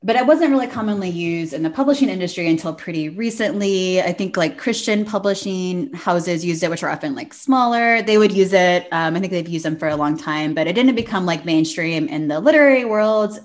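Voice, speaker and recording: A woman's voice: fairly low pitch, fairly quick, few pauses. A woman co-hosting a podcast, talking through news in an informal, conversational and hedging way. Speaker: podcast co-host. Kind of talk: casual book news discussion.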